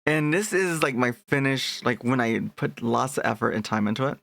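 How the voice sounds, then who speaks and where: A man's voice: mid-range voice, lively intonation, normal speed, gravelly, fairly bright tone, no echo. A young man chatting casually on a live stream, rambling and restarting his sentences as he greets his chat.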